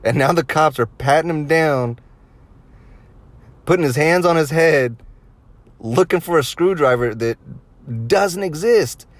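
A man's voice: on the high side, a little slow, pauses often, dry recording. Casual, crude-talking male podcaster. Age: late 20s to early 30s. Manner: crude, swearing, amused by own stories. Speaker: podcast host. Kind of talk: casual anecdotal storytelling to listeners.

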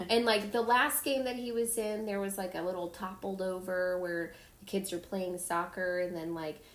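A woman hosting a podcast, telling stories in a casual, animated way and acting out the people in them. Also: mid-range voice, moderate pace. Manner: animated storytelling, acts out kids' voices. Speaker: podcast host. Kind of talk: casual chat. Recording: echoey room.

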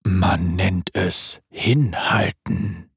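A man voicing a hungry, playful male wolf spirit, speaking low, slow and growling. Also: fairly even intonation, creaky, slightly rough, no room sound, studio quality, exaggerated voice.

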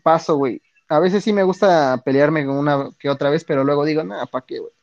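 Streamer: higher-voiced Mexican man, loose and foul-mouthed, dull dry lo-fi sound. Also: a little fast, vocal fry, a little mellow, no echo. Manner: slangy, profane, reads and answers chat. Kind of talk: casual chat with viewers.

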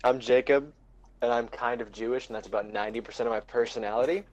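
Young man, mid-pitched voice, dry cheeky humor, casual chat. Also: steady tone, fast. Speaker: podcast co-host.

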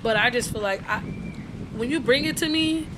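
A woman in her twenties co-hosting a podcast, talking in animated, teasing casual banter and laughing often. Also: a little high, moderate pace, noisy background. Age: 20s. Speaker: podcast co-host.

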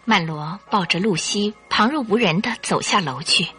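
A woman narrator tells a story in dramatic radio style. Her voice is bright and crisp-edged at a middle pitch, with lively rises and falls over faint music. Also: moderate pace.

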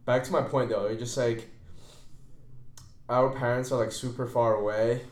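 A young man hosts a relaxed student podcast, talking informally and earnestly as if giving advice. The room around him is noticeably echoey. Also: mid-range voice, normal speed. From China. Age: young adult. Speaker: podcast host, college student. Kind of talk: casual podcast chat. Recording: roomy echo.

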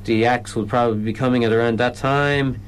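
A man's voice: mid-range voice, steady tone, brisk, slight background noise. An Irish man explaining his comedy event in a radio interview, often stopping and restarting sentences. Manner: hesitant, lots of restarts.

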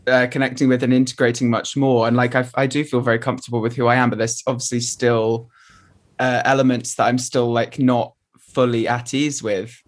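A man's voice: medium pitch, flat delivery, brisk. A man speaking earnestly as an interview guest, open and encouraging. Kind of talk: candid conversational interview.

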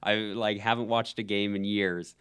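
A young man from Alberta with a Canadian accent, chatting casually as a guest, relaxed and quick to laugh, with frequent ums and restarts. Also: on the low side, smooth. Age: young adult. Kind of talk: casual conversation.

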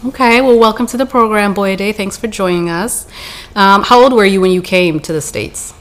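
A woman's voice: medium pitch, moderate pace, a bit bright. A woman hosting a podcast, asking curious questions in a conversational way with many fillers. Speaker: podcast host. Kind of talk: casual chat and interviewing.

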